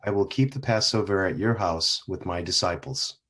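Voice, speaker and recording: A man reading the part of Jesus in a church passion play, solemn and measured. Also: deep voice, fairly bright tone. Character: Jesus, grave calm male teacher. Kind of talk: dramatic scripture reading. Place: church sanctuary.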